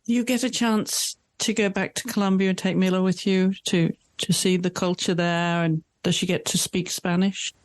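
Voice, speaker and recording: A woman's voice: lowish voice, little pitch movement, moderate pace, few pauses, no echo, rough recording. A woman hosting a podcast interview, asking questions and giving supportive, reassuring replies. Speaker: podcast host.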